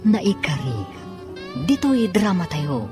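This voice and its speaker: A woman's voice: lowish voice, very animated, slow pace, music in the background. A woman with a Filipino accent reading scripted narration as the narrator of a radio drama.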